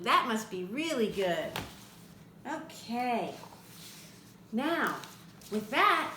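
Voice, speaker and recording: An older woman reading a picture book aloud to children, expressive and chatty, with asides to the young listener. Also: fairly high pitch. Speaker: read-aloud storyteller for children. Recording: echoey room with faint background noise.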